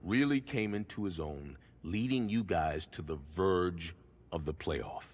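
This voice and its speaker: Sports interviewer, very low voice, husky, earnest, measured delivery, video game cutscene. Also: male voice, animated, a little mellow. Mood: earnest, respectful. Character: earnest, respectful sports interviewer guiding a career retrospective.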